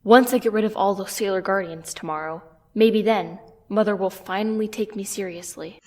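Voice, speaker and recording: A woman's voice: medium pitch, normal speed, close to an everyday voice. A woman playing an imperious, impatient young villainess in an anime parody audio drama. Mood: scheming. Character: imperious, scheming villainess princess, impatient and commanding. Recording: faint music behind.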